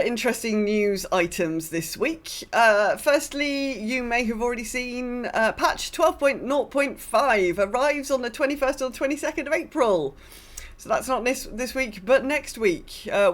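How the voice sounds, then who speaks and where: A woman's voice: fairly high pitch, lively intonation, normal speed. Woman, podcast co-host chatting casually. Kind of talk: casual chat.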